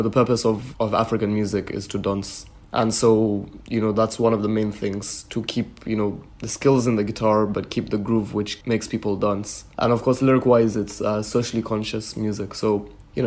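A male musician from Kenya answering interview questions in a relaxed, thoughtful way, with frequent "you know" fillers. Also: on the low side, fairly quick, hardly any pauses. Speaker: musician guest.

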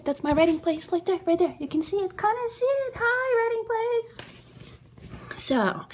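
A woman in her thirties with a Russian accent, talking straight to a close camera at home in a goofy, theatrical vlog that swings from whispers to excited squeals. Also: fairly high pitch. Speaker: indie writer and vlogger. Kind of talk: playful casual vlog to camera. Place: small room indoors at home. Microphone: phone or webcam close to the face.

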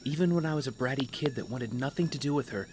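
Actor as young male trainee, mid-pitched, earnest, curious, conversational audio drama delivery, clear outdoor insect noise. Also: fast, lightly acted. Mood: reflective. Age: young adult. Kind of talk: naturalistic audio drama acting.

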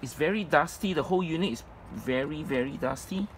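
A man giving an informal, halting item-by-item walkthrough as a presenter at a storage unit. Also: animated. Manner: halting, piecemeal delivery. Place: storage facility, at open unit. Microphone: handheld phone camera, speaker behind it. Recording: noisy handheld recording, traffic-like rumble.

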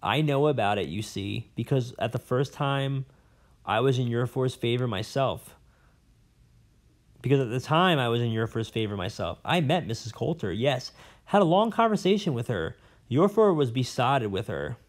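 A man's voice: mid-range voice, expressive, normal speed, natural voice. A man voicing a pompous, rambling old male professor in an audiobook, blustering and fussy. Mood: conspiratorial. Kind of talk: audiobook character voice.

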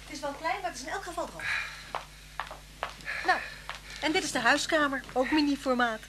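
Chatty homely woman host in a radio play, high voice, noisy old recording. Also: animated, normal speed. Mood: hospitable. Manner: chatty, solicitous. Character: hospitable, chatty woman living alone in cottage. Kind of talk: naturalistic Dutch radio drama acting.

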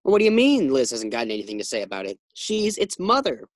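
A man's voice: mid-range voice, huge pitch swings, fast talker, no echo, studio quality. A man playing a flustered, boastful, naive husband who stammers when rattled, in an old-time radio sitcom style. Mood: earnest, boastful.

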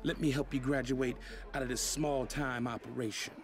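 An actor playing a cocky rival record label boss in a video game cutscene, mocking and boastful in street slang. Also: male voice, mid-range voice, fairly even intonation, normal speed, lightly acted. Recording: game audio with loud hip hop music.

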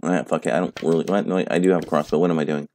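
Man, very deep voice, hoarse from a sore throat, laid-back cursing gameplay chat. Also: steady tone, very fast, darkish tone, slight background noise. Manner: profane, laid-back rambling. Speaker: let's play host. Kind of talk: casual gameplay commentary.

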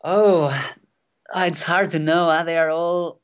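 A young man in his twenties, a non-native English speaker whose first language is Catalan, telling a wild kayaking story as a guest, excited and swearing freely. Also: a little high, takes it slowly, smooth. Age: 20s. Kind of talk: casual storytelling interview. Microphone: remote call.

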